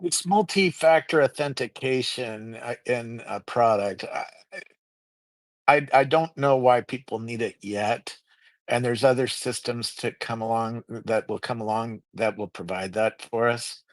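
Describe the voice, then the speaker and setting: A man speaking as a panel guest, giving skeptical opinions in a halting way. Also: mid-range voice, no echo, far from the mic.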